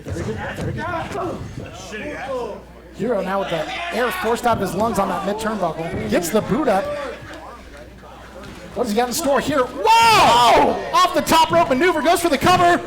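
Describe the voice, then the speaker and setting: A man calling a wrestling match play by play, excitable and quick with quips. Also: very high-pitched. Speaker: wrestling commentator. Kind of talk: play-by-play commentary. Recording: loud crowd behind.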